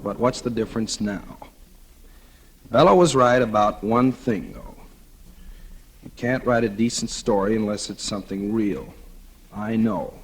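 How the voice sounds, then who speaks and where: An actor in a 1940s radio drama playing a cynical, flippant condemned murderer who talks in sardonic slang. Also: male voice, lowish voice, unhurried, long pauses. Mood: bitter, resigned. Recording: noisy old radio recording with clicks.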